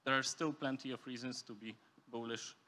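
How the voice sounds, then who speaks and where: Bright-voiced young man giving a speech. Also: medium pitch, steady tone, brisk. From Poland. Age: young adult. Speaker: student speaker at a youth forum. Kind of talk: prepared formal speech.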